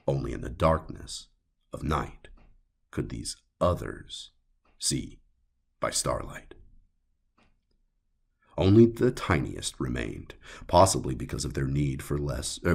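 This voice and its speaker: A man with a gravelly, husky voice narrating a scripted horror story dramatically, in short broken phrases. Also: a bass voice. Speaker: audiobook narrator.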